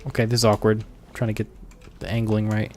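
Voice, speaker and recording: A man's voice: fairly low pitch, completely flat delivery, quick pace, slight background noise. A young man giving casual, joking, slangy commentary as he streams a video game.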